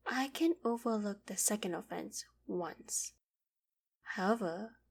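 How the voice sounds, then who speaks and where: Woman acting a breathy, gentle young queen. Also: medium pitch. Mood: stern, indignant. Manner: soft, breathy, dropping into whispered asides. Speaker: voice actor in audio roleplay. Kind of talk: scripted ASMR roleplay acting.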